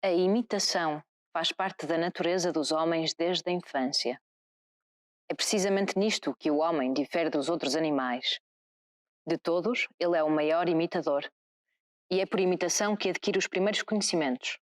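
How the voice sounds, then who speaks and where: A woman's voice: fairly low pitch, moderate pace. A woman reading a scripted text as a quotation. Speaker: reader of a quotation.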